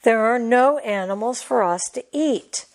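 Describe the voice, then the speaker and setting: A woman voicing a curious, excitable young male tiger cub in a storybook, with an eager, questioning manner. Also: fairly high pitch, unhurried, pitched above the performer's own voice. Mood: worried.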